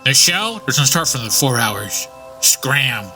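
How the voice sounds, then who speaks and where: Man acting a rodent gatekeeper, male, surly, menacing, curt, mid-pitched, audio drama, prominent music bed. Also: sing-song, slow pace, pushed and bright, full character voice. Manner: curt, threatening. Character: surly, foul-mouthed mutant rat-man gatekeeper.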